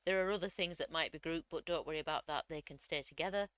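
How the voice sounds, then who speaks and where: A woman, slightly croaky from a cold, chattily walking through a crafting software tutorial step by step. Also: low-pitched, quick pace, no echo. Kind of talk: screen-recorded software tutorial.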